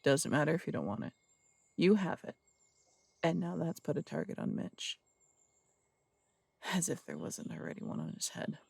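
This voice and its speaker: Gruff no-nonsense cop in an audio drama, voiced by a man, blunt threats, gravelly fry, fairly high for a man. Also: sing-song, moderate pace, pauses often, no echo, exaggerated voice. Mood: stern. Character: stern adult male cop who runs the morgue. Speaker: detective.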